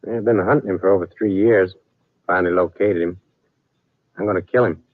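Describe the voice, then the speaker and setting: An American actor playing a grim, vengeful cowboy drifter in a 1950s radio western, terse and deadpan with rustic speech. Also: male voice, deep voice, brisk, no echo, narrow, tinny audio, lightly acted. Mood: coldly vengeful. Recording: thin, dry old radio recording.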